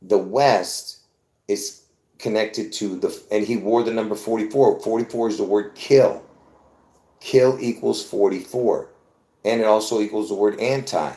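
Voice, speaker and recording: Low-voiced man from Lebanon, insistent rambling host, echoey room. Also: a little slow, dark tone. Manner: insistent, repetitive, with many restarts. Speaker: conspiracy video host. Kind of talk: rambling live monologue.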